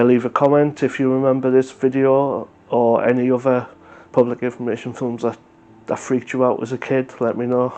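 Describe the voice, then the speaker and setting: A man's voice: mid-range voice, mellow. A man hosting a reaction video, giving a casual, unscripted review in a hesitant, rambling, matter-of-fact way. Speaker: YouTube reaction host.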